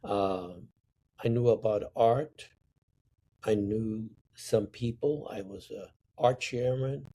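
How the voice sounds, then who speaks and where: An older man from Sicily, telling stories from his life slowly and with emphasis. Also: on the low side, warm tone, a little hiss or hum. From Sicily, Italy. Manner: slow, deliberate, emphatic storytelling. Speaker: interview guest, artist. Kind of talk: reflective storytelling.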